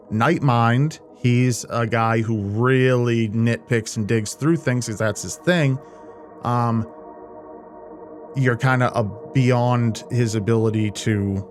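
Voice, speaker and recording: A man hosting a video, giving casual reaction commentary with rambling, informal asides. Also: medium pitch. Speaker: host, horror video creator. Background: music.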